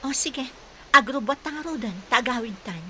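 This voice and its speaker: A woman's voice: high-pitched, lively intonation, normal speed, sharp tone, a little hiss or hum. An actress playing a schemer's uneasy, weary female partner in a radio drama, speaking fretfully. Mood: nervous, uneasy.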